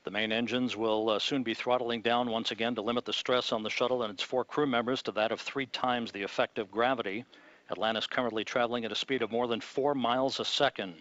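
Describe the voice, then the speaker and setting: A male launch commentator speaks in a measured, calm announcer voice at a middling pitch. The broadcast audio is dry and slightly dull. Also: dry recording. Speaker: launch commentator at mission control.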